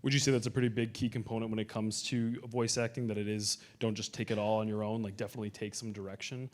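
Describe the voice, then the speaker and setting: A man's voice: on the low side, flat delivery, fast. A man moderating a panel, putting casual interview questions to a guest in everyday language. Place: convention panel.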